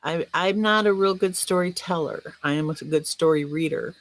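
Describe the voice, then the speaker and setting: A woman's voice: deep voice, normal speed. Chatty, self-effacing woman in casual conversation. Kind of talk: casual chat.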